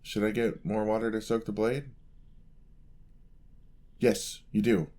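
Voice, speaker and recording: Man playing a sweet, slow-spoken young paladin. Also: on the low side, level tone. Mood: admiring, helpful. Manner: polite, earnest, gently encouraging. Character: sweet, clumsy, eager young male paladin apprentice. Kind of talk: fantasy ASMR roleplay, speaking to the listener.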